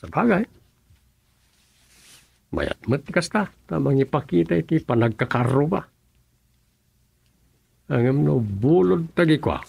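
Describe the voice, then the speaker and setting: Gravelly, husky put-upon filipino husband, ilocano accent, slow grumbler in a radio comedy. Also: male voice, on the high side, lively intonation, a little mellow, full character voice. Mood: grumbling, mildly exasperated.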